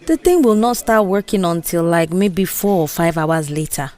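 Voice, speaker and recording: A woman voicing a bold, mischievous young office worker with a Nigerian accent, animated, dismissive and persuasive, in an audio drama. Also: on the low side, moderate pace, close to an everyday voice. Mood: confident, brash. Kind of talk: audio drama character dialogue.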